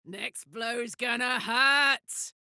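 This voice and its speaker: A woman's voice: on the high side, drawn-out words, bright, forceful tone, clear, resonant tone, no room sound, studio quality, heavily acted. An actress voicing a brash, big-hearted young female warrior in a video game, blunt and swaggering, tough yet playful and emotionally open. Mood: urgent. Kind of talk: video game character voice lines.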